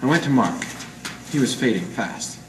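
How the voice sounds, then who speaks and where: An amateur actor playing a hard-boiled 1940s private eye in a radio noir, clipped, deadpan and slangy. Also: male voice, medium pitch, normal speed, natural voice. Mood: grim narrating. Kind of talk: 1940s radio noir acting with first-person narration. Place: live presentation in a roomy gallery. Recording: echoey room, distant mic, faint noise.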